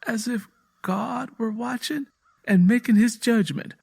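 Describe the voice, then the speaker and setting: A man's voice: very high voice, measured pace, gravelly, darkish tone, breathy edge, pitched above the performer's own voice, exaggerated voice. A man voicing an earnest, anxious, devout young wife with an American Southern accent and a pleading rural way of talking, in a narrated story. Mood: uneasy.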